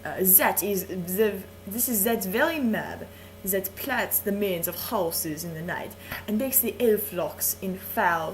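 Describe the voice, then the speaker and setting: A woman playing a slow, flirtatious Frenchman. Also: mid-range voice, slight background noise, close to an everyday voice, low-quality recording. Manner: slow, lingering, suggestive delivery with chuckles. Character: flirtatious vain adult Frenchman, seductive storyteller. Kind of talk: playful dramatic reading of verse.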